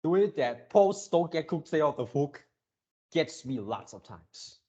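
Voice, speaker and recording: A man hosting a reaction video with casual, off-the-cuff commentary, speaking haltingly and repeating his words and phrases. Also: on the high side, sing-song, mellow.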